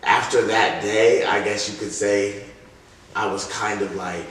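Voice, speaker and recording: A young man with a very low voice tells stories casually, full of slang and replayed bits of conversation. He sounds like a rapper in an interview, picked up from a distance in a very echoey room. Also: fairly even intonation, measured pace, fairly bright tone. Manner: slangy, acts out remembered dialogue. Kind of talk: casual anecdotal interview talk. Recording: echoey room, distant mic, faint noise.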